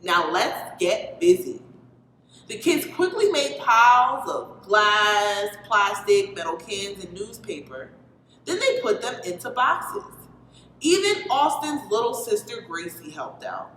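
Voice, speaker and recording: A woman reading a children's story aloud in an animated storytelling style. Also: medium pitch, measured pace. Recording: reverberant hall with background noise.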